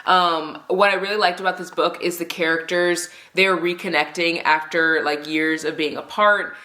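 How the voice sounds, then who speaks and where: A woman's voice: lowish voice, fairly even intonation, moderate pace, pushed and bright. A woman with a stuffy, congested nose, chatting casually and enthusiastically as she reviews books she has read. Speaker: book vlogger. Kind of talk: casual book review chat. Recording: roomy echo.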